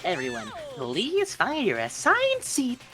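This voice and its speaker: A woman's voice: fairly high pitch, huge pitch swings, slow pace, full character voice. A woman voicing a gleeful, villainous robotic bear mascot from a video game, teasing and theatrical. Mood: cheerfully menacing. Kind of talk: fan voice-over of a video game. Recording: music behind the voice.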